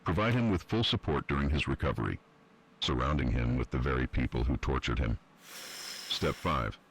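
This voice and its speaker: A man playing a cold, sadistic villain who reads out instructions deliberately in an analog horror video. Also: animated, a little hiss or hum, poor audio. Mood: cold, menacing. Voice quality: very deep, husky. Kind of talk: scripted step-by-step horror narration.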